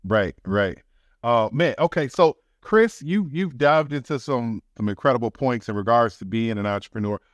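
A man's voice: medium pitch, animated, normal speed, no echo, dull audio. A man in his thirties hosting a podcast, asking questions in a conversational interview style. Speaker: podcast host. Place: indoor room, video call.

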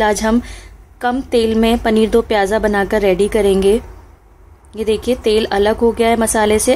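Woman's higher voice teaching a recipe. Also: a little mellow, slight background noise. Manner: friendly step-by-step instructions, often says friends. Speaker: cooking channel host. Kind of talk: home cooking tutorial voice-over. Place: home kitchen stove.

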